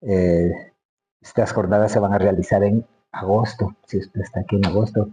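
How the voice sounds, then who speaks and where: An older man with an Ecuadorian accent interviewing in a casual way, halting and repeating phrases as he searches for words. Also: fairly low pitch, steady tone, dark tone, a little hiss or hum.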